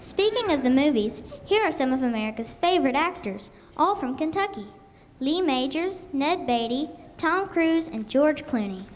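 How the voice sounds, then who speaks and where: A woman's voice: high-pitched, expressive, normal speed, crowd noise. A young female performer playing a TV reporter in a scripted school radio show, announcing in a presentational way. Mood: upbeat announcing. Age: child or young, elementary school performer. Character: bubbly female TV reporter touring the Kentucky Derby. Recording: noisy amateur live stage recording.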